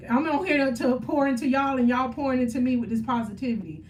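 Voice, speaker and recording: A woman's voice: sits high, fast, darkish tone, distant mic, a little hiss or hum, poor audio. A woman, chatting on a faith-centered livestream, upbeat and preachy as she answers viewer comments. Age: 30s. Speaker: faith motivational livestream host. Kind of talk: casual live stream chat with viewers.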